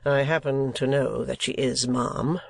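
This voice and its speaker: A woman reading a blunt, stern gentleman. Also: a bass voice, steady tone, vocal fry, no room sound, exaggerated voice. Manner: blunt, clipped and deliberate.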